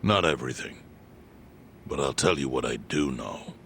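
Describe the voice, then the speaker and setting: A man voicing a calm, all-knowing clan chairman who speaks in a measured, grave way. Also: rumbling low, creaky, a little hiss or hum, full character voice.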